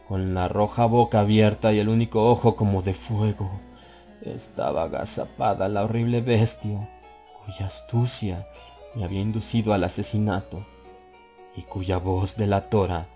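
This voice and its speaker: A man reading a horror story as an audiobook narrator, theatrical, building tension with swells in pitch and loudness. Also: steady tone, a little slow, faint music behind. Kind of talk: dramatic scripted narration.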